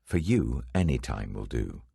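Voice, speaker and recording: A man voicing a grave, calm, resolute holy teacher in a dramatic scripture reading, quiet and deliberate. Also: very deep voice, creaky, husky, no room sound, clean studio sound, voice pushed down, full character voice.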